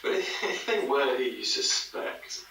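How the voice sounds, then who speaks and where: Podcast drama role: gently probing male interviewer, medium pitch, bright tone, conversational. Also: very animated, slow, vocal fry, far from the mic, full character voice. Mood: curious, probing. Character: inquisitive interviewer probing gently for hidden connections. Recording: very echoey room, off-mic, faint noise.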